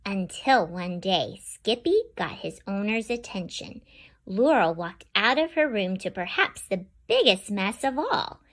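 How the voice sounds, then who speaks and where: A woman's voice: mid-range voice, measured pace. A woman host reading a picture book aloud to children and talking straight to her young listeners. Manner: animated, talks directly to young listeners. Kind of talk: read-aloud storytelling for children.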